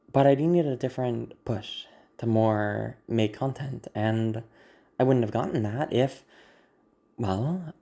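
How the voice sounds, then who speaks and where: An ASMR content creator with a naturally gravelly, growly voice, talking to viewers in a candid, self-deprecating, rambling way. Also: medium pitch, dark tone. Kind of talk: casual personal talk to viewers.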